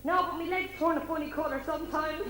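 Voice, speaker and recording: A woman's voice: high voice, fast talker, fairly bright tone. A woman playing a cheeky lady in a comic stage pantomime, projecting her punchlines broadly. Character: cheeky Irish lady trading gags with a king. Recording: echoey noisy amateur stage recording.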